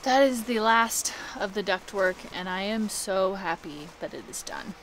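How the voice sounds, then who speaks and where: A woman's voice: medium pitch, expressive, unhurried, fairly bright tone, slight background noise, rough recording. A woman in her thirties chatting cheerfully to a close handheld camera about a home DIY project. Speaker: DIY home-build vlogger. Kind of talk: casual vlog talk to camera. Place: attic and garage shop. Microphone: handheld action camera close up.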